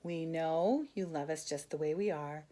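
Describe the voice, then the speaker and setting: A woman reading a storybook as a small, humble male caterpillar, earnest and gentle in manner. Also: low-pitched, moderate pace, natural voice. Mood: earnest, weary.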